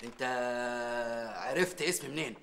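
A man's voice: mid-range voice, animated, moderate pace, creaky, bright, forceful tone, a little hiss or hum. A man playing a factory foreman giving rallying orders, in an Egyptian accent. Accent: Egyptian Arabic. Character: rousing male factory foreman, scheming.

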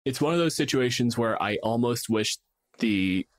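A man's voice: mid-range voice, expressive, moderate pace. A man co-hosting a casual tabletop gaming discussion, dramatizing his examples with acted asides. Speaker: podcast co-host and gamemaster.

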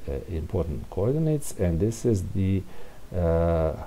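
Low, mellow, slow-talking man thinking aloud. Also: sing-song. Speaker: presenter of a programming tutorial. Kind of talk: informal unscripted screencast walkthrough of code. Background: room heater hiss.

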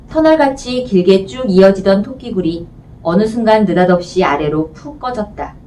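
A woman's voice: mid-range voice, a little mellow. A woman narrating a children's storybook as an audiobook reader, in scripted narration. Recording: distant mic, faint background noise.

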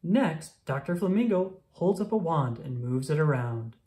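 A woman narrates a picture book for young children. Her voice sits low for a woman, with lively rises and falls, and she paces the sentences clearly. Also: measured pace. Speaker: storybook reader. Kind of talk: children's read-aloud narration.

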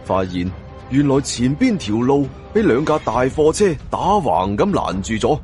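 A man's voice: medium pitch, sing-song, a little slow, a little mellow, soft background music. A man with a Hong Kong Cantonese accent narrating a suspense novel as a dramatic audiobook storyteller.

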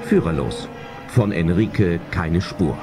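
A man's voice: deep voice, slow. A man narrating a suspenseful adventure audio drama in scripted storyteller style. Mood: suspenseful storytelling. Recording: loud music under narration.

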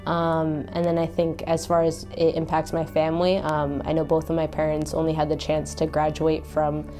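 Low, mellow young woman, thoughtful. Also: completely flat delivery, normal speed, soft background music. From Maine, USA. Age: young adult. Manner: thoughtful, frequent ums and likes. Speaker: interviewee, college student. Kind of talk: personal testimony to camera. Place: indoor studio against a light wall. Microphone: lapel mic.

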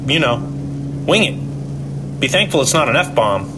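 A man's voice: mid-range voice, sing-song, unhurried, noisy background. An actor playing a casual, flippant film cameraman in a radio drama.

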